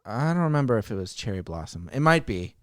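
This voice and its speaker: A man's voice: mid-range voice, sing-song, fast talker, fairly bright tone, no echo, clean studio sound. A man co-hosting a casual chat show, bantering in a teasing, joking way. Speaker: podcast co-host and audio drama producer.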